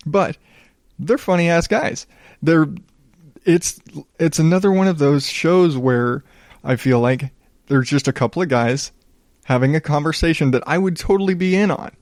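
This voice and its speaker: A man hosting a solo podcast in a smooth voice, chatting casually in a low-key, rambling, self-deprecating way with some swearing. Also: a little mellow, no echo, studio quality. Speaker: podcast host.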